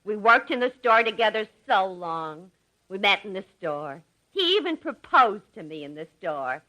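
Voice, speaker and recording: An actress playing an excitable saleswoman, a clerk's wife, in 1950s radio comedy. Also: medium pitch, moderate pace, low-quality recording. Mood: musing aside. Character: shop clerk's wife, excitable, soothing, easily exasperated saleswoman.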